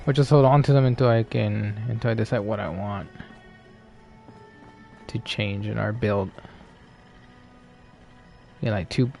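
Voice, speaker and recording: A man giving low-key, casual live commentary as he streams a game, musing to himself. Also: steady tone, a little slow, vocal fry, long pauses, warm tone, soft background music.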